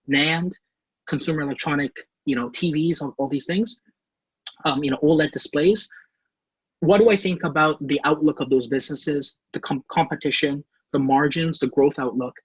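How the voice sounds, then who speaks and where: A man's voice: fairly high pitch, husky, no echo, highs cut off. A man in his thirties from Canada, a portfolio manager giving an online career class, friendly and eager, with many fillers and restarts. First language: English.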